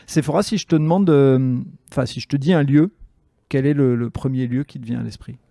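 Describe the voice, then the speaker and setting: A man's voice: mid-range voice, a little fast, creaky, dark tone, no room sound, studio quality. A man hosting a podcast in a chatty, rambling, enthusiastic way. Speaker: podcast host and interviewer. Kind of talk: casual conversational interview.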